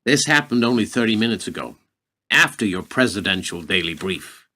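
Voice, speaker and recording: Guy doing serious defense secretary in a radio play, formal, sharp edged voice, lots of inflection. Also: lowish voice, sing-song, moderate pace, heavily acted. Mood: grave, urgent. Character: male Secretary of Defense, grave, formal, well-informed adviser.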